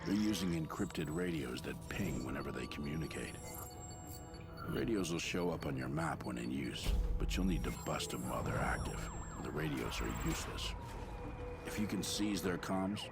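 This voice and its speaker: Very deep, gravelly police commander. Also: male voice, lively intonation, normal speed, loud music behind the voice, exaggerated voice. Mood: commanding. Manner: stern, intense, authoritative. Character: hard-nosed male federal elite police unit commander. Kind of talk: dramatic video game mission briefing.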